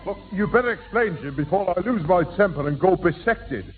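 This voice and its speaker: A dim, blustering young chap, high in pitch and forever grumbling as he muddles his words. The old radio sitcom sounds thin and tinny. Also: animated, brisk. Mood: indignant, baffled. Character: slow-witted, grumbling young man dragged into schemes. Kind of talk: 1960s British radio sitcom acting.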